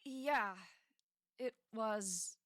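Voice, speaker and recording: An actress playing a hesitant, guarded new patient in a radio drama, speaking in a reserved, terse way. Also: female voice, on the high side, very slow, a bit bright.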